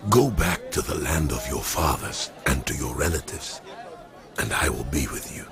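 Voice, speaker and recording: A super deep divine voice, solemn and commanding, crowd ambience behind. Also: male voice, moderate pace. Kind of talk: audio Bible drama acting.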